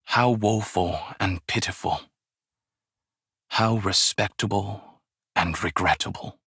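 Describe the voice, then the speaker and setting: An actor playing a wise, weary, ageless martial arts master in a game, with measured, formal, poetic delivery and a husky voice. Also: male voice, on the low side, bright, forceful tone, no room sound, studio quality, exaggerated voice. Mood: somber, reflective. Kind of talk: scripted game character voice lines, solemn and poetic.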